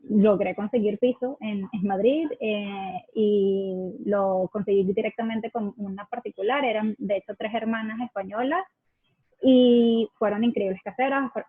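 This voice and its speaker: A woman from Venezuela with a Venezuelan accent, speaking reflectively as a guest, with many pauses and restarts. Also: mid-range voice, husky, no room sound, narrow, tinny audio. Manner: reflective, many fillers and self-corrections. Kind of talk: conversational interview.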